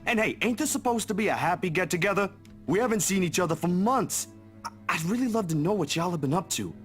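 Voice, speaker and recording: Easygoing young male peacemaker for a game, read by a man, informal, very animated delivery. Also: sits high, quick pace, faint music behind, pitched above the performer's own voice. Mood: conciliatory. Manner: folksy, soothing, informal.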